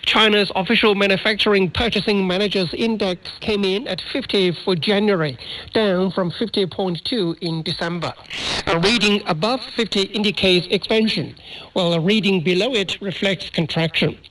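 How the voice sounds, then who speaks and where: A man's voice: sits high, steady tone, moderate pace, fairly bright tone, slight background noise, rough recording. A man reading scripted news as a radio anchor, heard over a shortwave broadcast.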